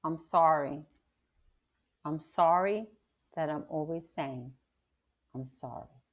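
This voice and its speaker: A woman reading aloud and voicing a tired, stern but gentle working father, who talks slowly and calmly. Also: low voice. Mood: weary, serious.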